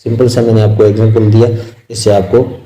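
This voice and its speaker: A man with a Pakistani accent lecturing on exam material, explaining step by step in a halting, repetitive way with simple examples. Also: fairly low pitch, little pitch movement, warm tone. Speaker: law lecturer.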